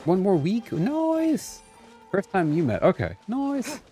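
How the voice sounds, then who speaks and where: A man talks casually to his live audience while gaming. His voice sits a little high and moves around a lot in pitch, with a playful, exclamatory delivery. Faint game music plays behind him. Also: huge pitch swings, takes it slowly, warm tone. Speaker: game streamer. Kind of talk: casual live gameplay chat with viewers.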